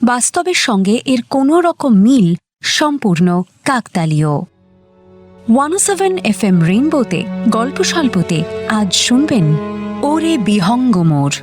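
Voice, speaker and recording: প্রাণবন্ত, উঁচু গলার মহিলা উপস্থাপিকা. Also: female voice, on the high side. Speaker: radio story presenter. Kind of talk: scripted radio introduction. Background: background music.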